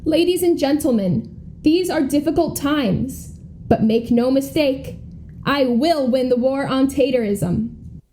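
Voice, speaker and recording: A woman's voice: high voice, slow, mellow, a little hiss or hum. A young American woman playing an earnest, polished schoolgirl running for student president, speaking like a formal politician in a comedy radio play. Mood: righteous. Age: young adult. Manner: formal, rhetorical politician delivery.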